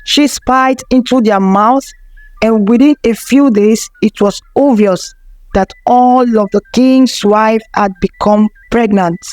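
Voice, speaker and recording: A woman tells a folktale as a scripted narration, taking it very slowly. Her voice sits fairly high. The recording is very dry and close, with no room sound. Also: darkish tone, dry recording, rough recording. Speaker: storyteller.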